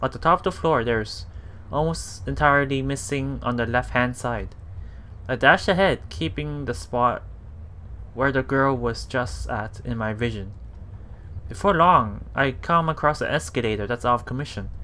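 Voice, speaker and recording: A man's voice: medium pitch, moderate pace, pushed and bright. A man hosting a let's play, reading a visual novel aloud and adding casual reactions, sometimes repeating himself. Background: game music.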